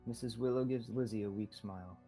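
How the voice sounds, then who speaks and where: A man's voice: lowish voice, a little fast, over music. A young man reading scripted narration for a radio-style mystery drama. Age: young adult.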